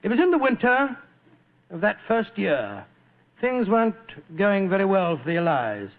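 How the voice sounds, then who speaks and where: High, creaky old British doctor. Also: male voice, wildly swooping pitch, moderate pace, phone-quality sound, exaggerated voice. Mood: grave storytelling. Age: older. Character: elderly genial British doctor recounting his wartime adventures. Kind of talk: old radio drama acting and narration. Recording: thin band-limited old radio recording.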